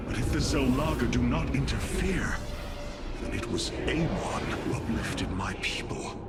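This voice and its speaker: An actor playing a noble alien leader in a game cutscene, speaking gravely and formally with reverence and resolve. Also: male voice, medium pitch, animated, natural voice. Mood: reverent, solemn. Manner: formal, grave, measured, stately. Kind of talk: dramatic game cutscene acting. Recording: voice over loud cinematic music.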